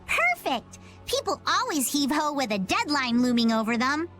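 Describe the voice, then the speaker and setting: A woman's voice: high voice, very animated, moderate pace, fairly bright tone, soft background music. An actress voicing a plucky, earnest and slightly bossy young girl in a video game. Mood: eager. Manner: declarative, spirited.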